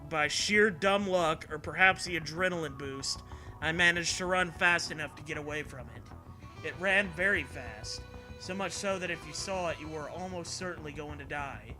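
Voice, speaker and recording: Higher-voiced man over music. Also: normal speed, pushed and bright, low-quality recording. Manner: mocking, sweary. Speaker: comedy show host reading internet horror stories. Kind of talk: dramatic reading mixed with joking commentary. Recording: music behind the voice.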